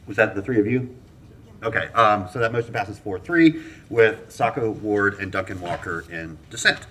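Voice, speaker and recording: Low-voiced man chairing a meeting. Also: fairly bright tone. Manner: halting, many fillers, brisk procedural. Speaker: mayor chairing a city commission meeting. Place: council chamber.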